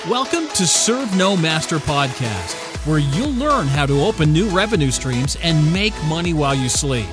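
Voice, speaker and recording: A man reading a scripted show intro with an energetic, salesy announcer delivery. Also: fairly high pitch, normal speed. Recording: voice over loud music bed.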